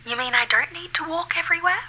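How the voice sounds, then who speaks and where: A woman playing a ghostly woman in an audio drama, relieved to be heard, brisk and blunt. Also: extremely high pitch, sharp tone, exaggerated voice. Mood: wry, businesslike. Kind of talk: audio fiction acting.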